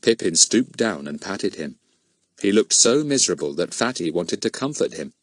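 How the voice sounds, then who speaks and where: A man's voice: low-pitched, big pitch swings, normal speed, no room sound. A man narrating a children's story from a script as an audiobook reader.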